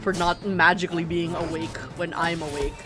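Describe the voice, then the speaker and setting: A woman's voice: fairly low pitch, little pitch movement, moderate pace. A woman with a middle-class Filipino English accent chats casually and playfully to viewers as she streams a game, often interrupting herself. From Philippines. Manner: talkative, self-interrupting, playful. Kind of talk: casual chat to viewers while gaming. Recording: music playing behind the voice. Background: game music.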